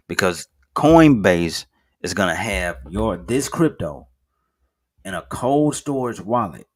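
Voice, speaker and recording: Low-voiced man in his thirties, rambling. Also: a bit bright. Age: 30s. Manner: rambling, self-correcting, with frequent fillers and restarts; informal, addresses viewers as "guys". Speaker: finance video host. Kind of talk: casual screen-share commentary.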